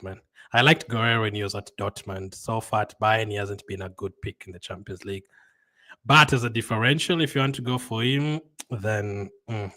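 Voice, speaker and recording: A man, hosting a casual livestream and answering viewer comments in a conversational, halting way. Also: lowish voice, pushed and bright. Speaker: livestream host.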